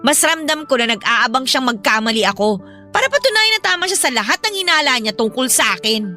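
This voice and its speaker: A woman plays a sulky younger sister in a Filipino audio drama. The voice is very high and bright with a Filipino accent, wounded and defiant, over soft music. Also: animated, fast talker. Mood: hurt, resentful. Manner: aggrieved, sulky, defensive.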